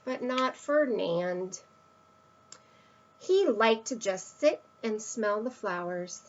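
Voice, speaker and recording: A woman's voice: mid-range voice, lively intonation, slow, highs cut off. A woman reading a children's picture book aloud, expressively, with emphatic stresses and asides to young listeners. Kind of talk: read-aloud children's storytelling.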